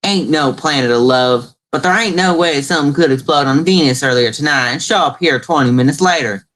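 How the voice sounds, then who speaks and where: A man's voice: a little high, a little fast, fairly bright tone, close to an everyday voice. A man playing a bumbling, stubborn poor farmer in a mock radio play, hesitant and wavering between formal and folksy wording. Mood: stubborn, indignant.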